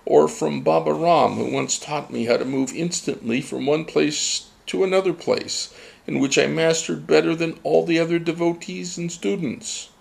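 An exasperated old seagull frets and pleads in a raised, gravelly voice, as a man reads him. He sounds flustered and plaintive. It is a storybook reading. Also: fairly high pitch, steady tone, normal speed, darkish tone, voice pushed up, poor audio. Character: male gull, anxious, exasperated old seabird.

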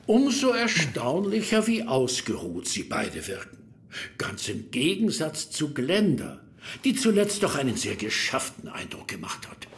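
An actor playing a formal, somewhat halting police superintendent in an audio drama. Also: male voice, a little high, wildly swooping pitch, heavily acted. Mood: awkward, formal. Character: stiff, formal older male police superintendent briefing subordinates.

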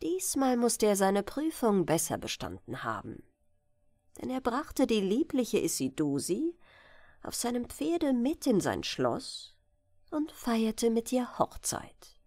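A woman's voice: a little high, huge pitch swings, takes it slowly, warm tone, no room sound, studio quality, soft background music. A woman narrating a bedtime fairy tale as an audiobook reader.

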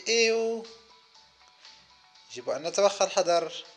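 Higher-pitched man narrating for kids, music behind. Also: normal speed. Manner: counts aloud, speaks in short simple phrases. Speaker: host of children's gaming videos. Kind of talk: simple gameplay commentary for young children.

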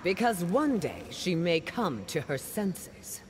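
A woman's voice: fairly low pitch, big pitch swings, slow. An actress playing a commanding, hard-edged woman fighter in a video game. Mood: firm. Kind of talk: video game character acting. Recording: long reverb, noisy background.

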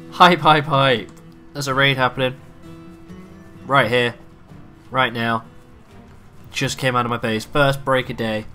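A man with a raspy throat from a cough, chatting and swearing excitedly through live gameplay commentary. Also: medium pitch. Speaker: gaming video creator.

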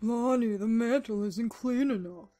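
A woman's voice: fairly high pitch, a little slow, mellow. A young woman amateur voice actor delivering bossy, scolding lines. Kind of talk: acted scolding lines in outtakes.

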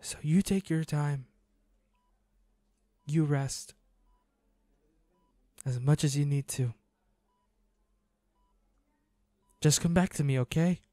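A man playing a devoted, grieving young hunter in an audio drama, speaking intimately in a halting, pleading, emotional way to an injured lover. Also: on the high side, dark tone, no room sound, studio quality. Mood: pleading, loving. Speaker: audio roleplay voice actor.

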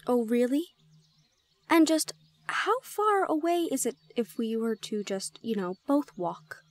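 A woman playing a shy, easily flustered young gorgon student in an audio roleplay, speaking in halting, exclamatory questions. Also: fairly high pitch, very animated, no echo. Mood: flustered, questioning. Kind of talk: audio roleplay drama acting.

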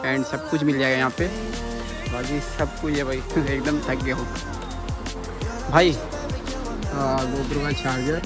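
A man's voice: mid-range voice, steady tone, a little slow, long pauses. A man vlogging casually to viewers while walking busy streets, chatty and addressing them as brother and guys. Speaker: moto vlogger. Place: busy city streets and a station outdoors. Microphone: action camera carried while walking. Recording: loud music over street noise.

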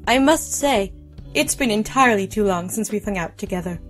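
An actress playing a poised, politely curious young huntress student who speaks formally and precisely in an audio drama. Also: female voice, mid-range voice, animated, a little fast, soft background music, natural voice. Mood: friendly, curious.

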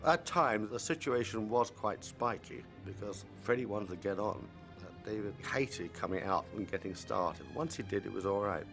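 A man in an interview, recalling work on a film set in a measured, matter-of-fact way. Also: on the low side, lively intonation, a bit bright, soft background music. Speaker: film crew member interviewed. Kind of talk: reminiscing interview.

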